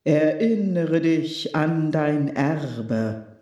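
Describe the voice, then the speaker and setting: A woman voicing a talking candle flame, the keeper of fire, who speaks slowly and solemnly and allows no objection. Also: low-pitched, lively intonation, mellow, echoey room, muffled recording, exaggerated voice.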